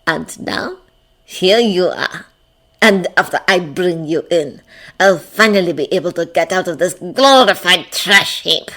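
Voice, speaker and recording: A woman's voice: lowish voice, animated, unhurried, a bit bright, exaggerated voice. A woman voicing a smug, maniacal male villain with a cold, grating tone in a narrated story. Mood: gloating. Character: smug, maniacal burned man, sadistic villain.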